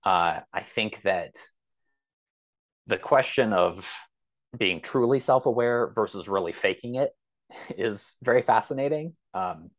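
A man's voice: lowish voice, lively intonation, unhurried. A man speaking as a podcast guest, casual and thoughtful, exploring ideas tentatively and often rephrasing. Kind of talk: casual, thoughtful interview talk.

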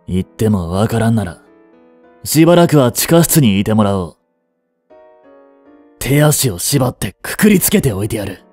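A cold young prince, acted by a man, speaks in a mellow, dark voice that moves widely in pitch. He is curt, haughty and commanding, with a protective streak underneath. The romantic audio drama is recorded dry and close. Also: sing-song, moderate pace, long pauses, no room sound, soft background music. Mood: alarmed, angry. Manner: imperious, curt, scolding.

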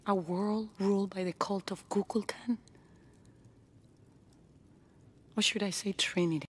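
A woman's voice: mid-range voice, steady tone, moderate pace, breathy edge, close to an everyday voice. An actress playing a steadfast, defiant young queen in a video game cutscene, speaking in a grave, resolute way.

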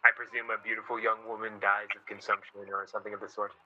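Radio play: male actor as embittered, teasing newspaperman, sardonic and rough-edged, hard bright tone. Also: fairly low pitch, level tone, fast talker, muffled recording. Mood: wry, teasing.

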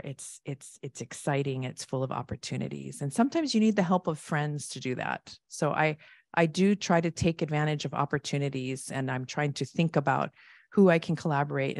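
A woman keynote speaker answering audience questions in a conversational way, thanking the askers and acting out quick asides. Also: sits low, gravelly, darkish tone, dry recording, clean studio sound. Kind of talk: conference Q&A answers. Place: large conference hall with audience.

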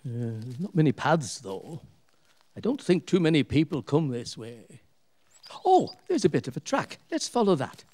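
A man's voice: fairly high pitch, wildly swooping pitch, normal speed, warm tone. A man playing a jovial, teasing husband in a radio play, given to exclamations and sometimes impatient. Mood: reassuring.

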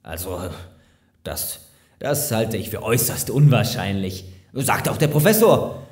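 A man playing a slick, overeager lawyer in an audio drama, flattering and evasive, with hesitant persuasion. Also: on the low side, measured pace. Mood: nervous, insistent. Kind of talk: German audio drama acting. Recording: roomy, echoing sound.

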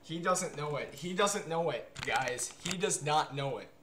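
A man talking casually and excitably as a game streamer, with repetitive, stammering phrasing on a noisy livestream recording. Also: fairly high pitch, measured pace. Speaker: game streamer making a prank call.

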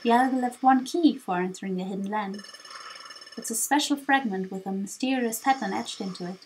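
A woman's voice: medium pitch, brisk, a little hiss or hum, low-quality recording. A woman doing amateur character voices as she reads out the dialogue of a story game. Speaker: let's play creator voicing all characters.

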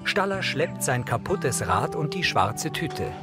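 A man's voice: on the high side, big pitch swings, slow. Neutral male narrator over music. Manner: neutral, concise. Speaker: audio description narrator. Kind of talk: scripted narration of a TV film.

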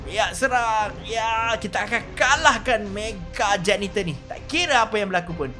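A Malaysian man hosting a gaming video with excited, exclamatory gameplay commentary. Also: high-pitched, normal speed, faint music behind. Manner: exclamatory, animated reactions.

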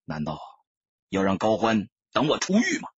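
A man voicing a remorseful young ex-soldier turned gangster in an audiobook, speaking in a subdued, halting, resolute manner. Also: fairly low pitch, huge pitch swings, muffled recording, a little hiss or hum, pitched below the performer's own voice. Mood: pained, pleading. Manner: hushed, heavy, halting. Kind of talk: audiobook character acting.

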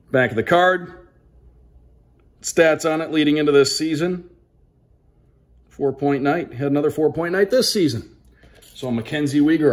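American guy doing casual unboxing commentary, reads names off crisply like a sports announcer. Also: medium pitch. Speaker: sports broadcaster hosting a card unboxing. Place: indoors at a tabletop, small room.

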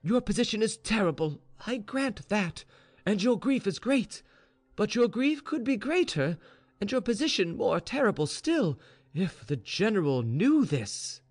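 A male reader plays a young maidservant in a Russian tale. The voice is high and moves up and down freely. She argues insistently and practically, urging her mistress along with shrewd reasoning. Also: very animated, a little slow, darkish tone, exaggerated voice. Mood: urgent persuasion. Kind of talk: storybook dialogue reading.

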